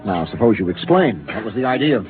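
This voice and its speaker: An actor playing a pragmatic ship captain who speaks calmly and with authority, in a 1950s radio drama. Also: male voice, on the low side, a little fast, a crowd in the background. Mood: firm. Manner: calm, soothing, authoritative. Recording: narrow-band vintage radio broadcast.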